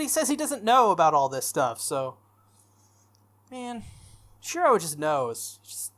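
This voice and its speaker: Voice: mid-range voice, a bit bright. A gaming YouTuber reading a visual novel aloud and breaking off for casual, jokey commentary. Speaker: gaming YouTuber, let's player. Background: game music.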